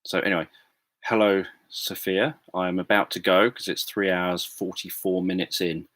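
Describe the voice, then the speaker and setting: A man with a very low voice talks softly and hesitantly to his viewers, often pausing on "um" and starting over. He is recorded close up in a dry room with no echo. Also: little pitch movement. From England. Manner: hesitant, many fillers and restarts. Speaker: livestream host. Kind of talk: casual livestream chat answering viewers. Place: home room.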